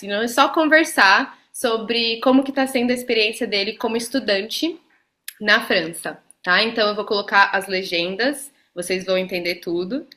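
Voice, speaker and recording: A woman's voice: mid-range voice, pushed and bright. A woman hosting a casual interview, leading with friendly questions and laughing easily as she moves the talk along. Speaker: host.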